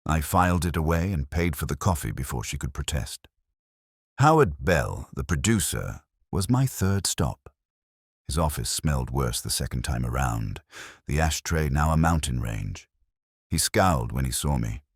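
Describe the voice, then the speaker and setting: Male narrator for hard-boiled detective audiobook: extremely low voice, lively intonation, dry close sound. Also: normal speed, husky, dry recording. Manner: wry, hard-boiled storytelling. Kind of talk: scripted first-person detective story narration.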